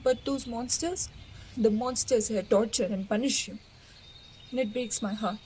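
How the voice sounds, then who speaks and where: A woman's voice: fairly high pitch, unhurried, dark tone, a little hiss or hum, close to an everyday voice, low-quality recording. A woman playing a compassionate, bold young idealist in an audio play, with heartfelt and dramatic delivery. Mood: pained affection.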